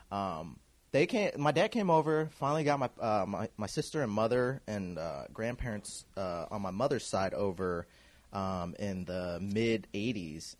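A man in his thirties from Kansas City, a guest telling his story in a relaxed, rambling way, full of fillers and restarts. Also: low-pitched. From Kansas City, USA. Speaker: guest, clothing designer. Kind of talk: casual podcast interview.

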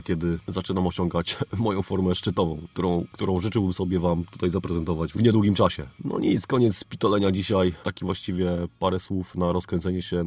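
A man's voice: low-pitched, mellow, breathy edge, no room sound. A man hosting a casual solo podcast in an informal, rambling way. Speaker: podcast host.

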